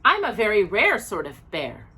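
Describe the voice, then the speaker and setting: Woman voicing a small, polite storybook bear, lively pitch. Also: moderate pace, heavily acted. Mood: proudly important. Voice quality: small, clear voice. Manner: polite, earnest, precise. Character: small, polite, self-important young male bear.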